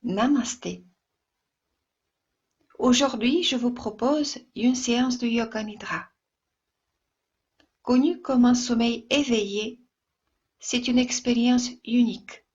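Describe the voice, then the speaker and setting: A woman yoga instructor leading a guided relaxation meditation in a soft, soothing, gentle way, with long pauses between phrases. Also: on the high side, slightly rough, dull audio.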